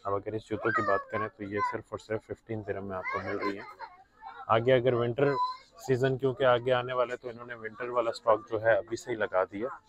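A man's voice: mid-range voice, little pitch movement, a little fast, dull audio, background noise. A young man talks casually to his followers and drops English words in as he goes. He sounds like a shopping vlog filmed inside a big discount store, picked up by the phone in his hand. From Pakistan. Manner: chatty, mixes in English words, talks to viewers as brothers. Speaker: vlogger. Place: large indoor discount store. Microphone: phone held by the filming hand.

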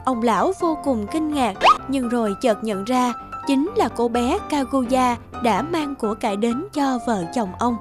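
A woman narrating a scripted fairy tale for children in a measured storytelling manner. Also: a little high, music in the background.

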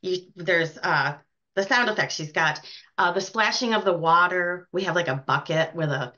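A woman's voice: on the low side, moderate pace, bright, forceful tone. An American woman chatting casually as an interview guest about her work in community theater. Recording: dull, lo-fi sound.

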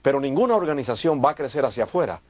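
Older church leader from Cuba, speaking warmly and expansively in a studio interview, dry close sound. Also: male voice, medium pitch, big pitch swings, racing, no room sound. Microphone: lapel.

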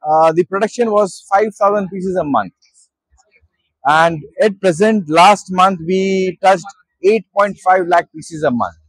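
A man in his thirties with an Indian English accent, a company director walking an interviewer through his products at an exhibition stall and pausing with fillers as he goes. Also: high-pitched, breathy edge, no echo, poor audio. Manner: hesitant, with many fillers and restarts. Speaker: managing director at a trade show. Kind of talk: guided product walkthrough. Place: busy exhibition hall stall.

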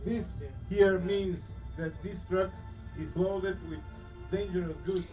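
A truck driver talks plainly in a documentary clip. His voice is dark and mellow and sits a little high for a man. Faint music plays underneath. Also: steady tone, slow, low-quality recording.

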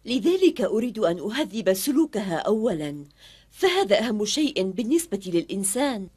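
A woman's voice: medium pitch, big pitch swings, brisk, darkish tone, breathy edge. A woman playing a strict, determined young female teacher in a dubbed cartoon, speaking firmly and earnestly. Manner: firm, earnest, commanding. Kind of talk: Arabic-dubbed cartoon acting.

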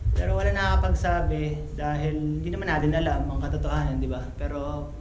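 A man's voice: a little high, steady tone, fast, a little mellow. A young Filipino man vlogging casually as he walks through a cave, talking to his viewers with lots of hesitant fillers. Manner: chatty, addresses viewers as guys, hesitant fillers. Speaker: travel vlogger. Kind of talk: casual walking tour chat. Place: inside a large rock cave, walking. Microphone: action camera held in hand. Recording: echoey cave with ambient noise.

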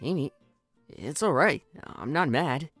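Low-voiced boyish hedgehog hero, acted by a woman. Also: slow pace, no echo, soft background music, heavily acted. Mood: calm, smug. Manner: expressive, swinging from smug to flustered. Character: young male hedgehog hero, cocky yet shy sweetheart. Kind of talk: amateur comic dub voice acting.

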